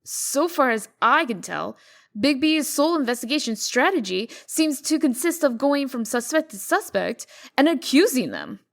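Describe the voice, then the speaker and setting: A woman's voice: high-pitched, very animated, normal speed, creaky, exaggerated voice. A woman voicing a poised, formal, businesslike deputy to a mayor, precise and dryly skeptical, in a comic dub. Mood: measured, skeptical. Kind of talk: comic dub character acting.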